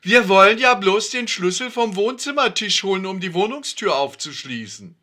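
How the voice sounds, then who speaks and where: A loud, eager roofer who brags about his trade, voiced by a man reading a comic story. His voice sits high and bright with lively swings. He sounds boastful and keen to help. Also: normal speed. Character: boastful eager middle-aged roofer buddy. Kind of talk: comic story read aloud.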